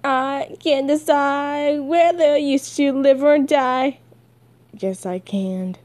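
Woman doing a slow, sardonic young male villain. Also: high-pitched, a little mellow, no room sound. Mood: menacing. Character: sullen, menacing young male comic villain.

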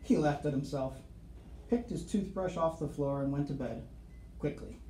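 A middle-aged man reads a storybook to children with dramatic, suspenseful pauses. His voice is soft-edged and mellow. The room adds clear echo and a faint background noise. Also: a little high, lively intonation. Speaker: school storyteller reading to children. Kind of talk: expressive picture-book read-aloud with asides to children. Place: small office, seated at desk. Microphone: camera across the desk. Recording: roomy office sound, faint background noise.

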